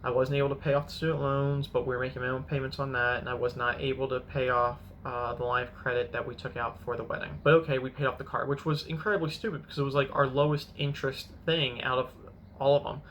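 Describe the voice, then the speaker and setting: Man in his thirties, bright voice, casual vlog, rambling self-correcting talk, faint room hum. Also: mid-range voice, flat delivery, fast talker. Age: 30s. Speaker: finance vlogger.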